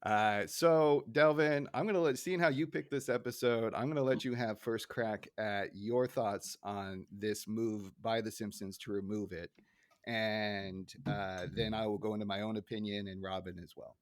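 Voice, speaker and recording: Low-voiced man hosting a podcast. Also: steady tone, moderate pace, dry recording, clean studio sound. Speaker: podcast host. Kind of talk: casual podcast discussion.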